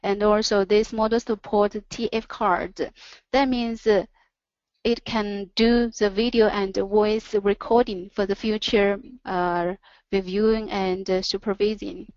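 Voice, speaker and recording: Halting non-native woman presenter, dull audio. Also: mid-range voice, fairly even intonation, a little mellow, no echo. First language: not English. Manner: halting, pausing between short phrases. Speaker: webinar presenter, company product representative. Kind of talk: product presentation over slides.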